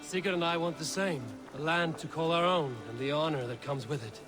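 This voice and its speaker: A man's voice: a little high, fairly quick, over music, lightly acted. A man playing a proud, blunt and wary Viking warrior in a video game, with a terse, wry delivery. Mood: sober, measured. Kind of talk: video game cutscene acting.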